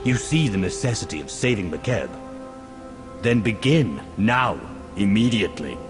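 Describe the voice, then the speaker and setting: Commanding male lord, very low voice, formal, resolute, video game cutscene, clear backing music. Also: big pitch swings, moderate pace. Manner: formal, authoritative. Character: commanding, resolute lord giving urgent orders.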